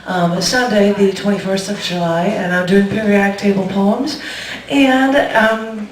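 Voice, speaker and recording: A woman's voice: medium pitch, fairly even intonation, a little slow, slight background noise. A woman hosting an open mic who is losing her voice, giving rambling, giggly announcements. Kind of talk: casual announcements. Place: cafe performance room. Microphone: distant room mic. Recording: echoey room, far from mic.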